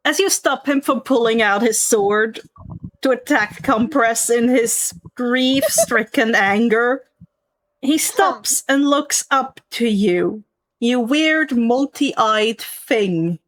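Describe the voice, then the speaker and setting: A woman narrating a tabletop roleplaying game as game master, in a measured, descriptive way. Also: a little high, fairly bright tone, no echo, low-quality recording.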